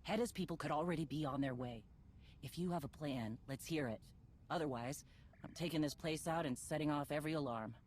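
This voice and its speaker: An actress playing a blunt, commanding female bounty hunter in a video game, impatient and vengeful, with a husky voice. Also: low-pitched, fairly quick, darkish tone, slight background noise, lightly acted. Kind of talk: video game cutscene acting.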